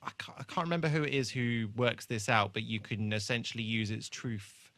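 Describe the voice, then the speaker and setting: A man's voice: medium pitch, fairly quick, fairly bright tone, no echo. A British man co-hosting a podcast, chatting casually about television in a hesitant, joking and sweary way. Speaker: podcast co-host. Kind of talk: casual TV rewatch chat.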